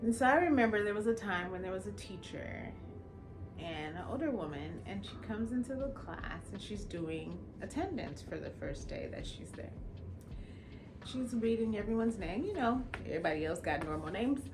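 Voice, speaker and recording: A young woman from Los Angeles, a lawyer who grew up speaking Jamaican Patois at home, recounts her own path in a loose, lively, conversational way. Her voice sits in an ordinary middle pitch. She slips into the voices of the people she quotes as she tells the story. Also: normal speed, music in the background. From Los Angeles, California. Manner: expressive, casual, acts out quoted dialogue. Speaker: attorney. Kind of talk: personal storytelling.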